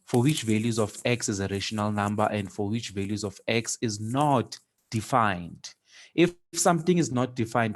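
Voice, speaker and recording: A man teaching a maths lesson online, hesitant, with many fillers and restarts. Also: fairly low pitch.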